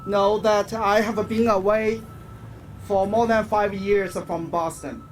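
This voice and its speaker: A man's voice: high voice, fairly even intonation. A man from China with a Chinese accent, giving a solemn, formal prepared speech as an activist at an outdoor rally. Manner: solemn, formal, deliberate phrasing. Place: outdoors in front of a public building. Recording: faint outdoor ambient noise. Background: faint ambience.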